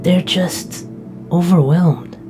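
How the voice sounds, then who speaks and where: A woman's voice: on the low side, takes it slowly, music in the background. A woman playing a sleepy, protective young knight who talks in a drowsy, affectionate way. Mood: tired. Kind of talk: casual roleplay dialogue.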